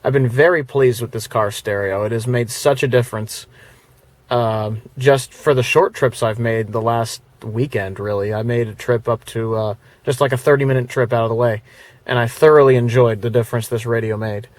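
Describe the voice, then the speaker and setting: A man talking casually as he shows off the stereo in his car, chatty and relaxed, with the odd filler and restart. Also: medium pitch, normal speed, no room sound. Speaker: car audio reviewer. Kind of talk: casual hands-on product demo. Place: inside a car. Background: faint music from the car stereo.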